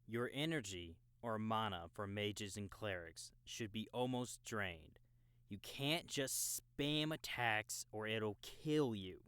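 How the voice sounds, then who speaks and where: A man's voice: fairly low pitch, fairly bright tone, clear, resonant tone, no room sound. A man playing a helpful, generous veteran gamer who casually guides a newcomer. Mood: instructive. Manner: casual, unhurried instructing. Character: helpful, generous male veteran game player and mentor.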